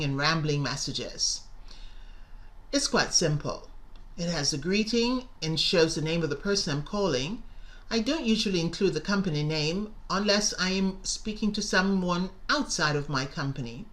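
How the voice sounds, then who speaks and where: A woman's voice: lowish voice, lively intonation, moderate pace. A middle-aged woman teacher narrating a scripted lesson, enunciating carefully and stressing key words. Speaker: English teacher and presenter.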